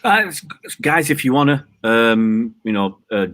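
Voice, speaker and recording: A man's voice: medium pitch, lively intonation, moderate pace, fairly bright tone, dry recording. A man hosting a casual online chat, talking in a rambling, joking way with many fillers from an outdoor balcony. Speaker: host.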